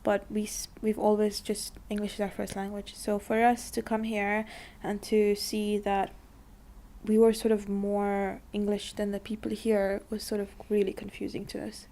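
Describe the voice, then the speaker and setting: A woman's voice: medium pitch, completely flat delivery, moderate pace, dark tone. A young woman from Dubai, talking casually in an interview, full of likes and you-knows. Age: young adult.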